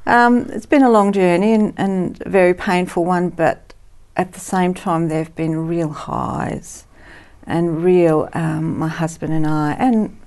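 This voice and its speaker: Woman in her sixties with a gravelly voice. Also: fairly low pitch, steady tone, a little slow. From Netherlands, raised in Australia. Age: 60s. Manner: warm, reflective, frequent ums and soft laughs. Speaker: guest. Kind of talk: TV studio interview.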